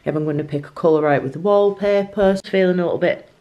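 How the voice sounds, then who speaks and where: A woman's voice: lowish voice, fast, muffled recording. A woman, talking casually to camera as a home vlogger in a hesitant, musing way. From North of England. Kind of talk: casual vlog talk to camera. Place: living room at home.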